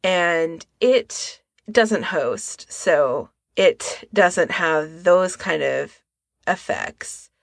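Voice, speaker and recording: A woman co-hosting a podcast in a casual discussion, with a creaky voice. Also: lowish voice, expressive, very slow, no echo, clean studio sound. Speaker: podcast co-host.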